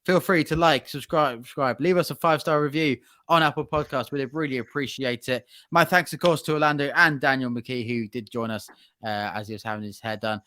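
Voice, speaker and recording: Bright-voiced man hosting a podcast. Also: mid-range voice, fairly even intonation, no echo. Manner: rambling, halting, with many fillers and restarts. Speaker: podcast host. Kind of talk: casual news chat.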